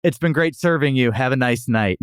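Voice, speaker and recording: A man's voice: mid-range voice, moderate pace, smooth, no echo, studio quality. A man co-hosting a comic podcast, chatting casually in a joking, sarcastic way. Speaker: podcast co-host. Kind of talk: casual comic review chat.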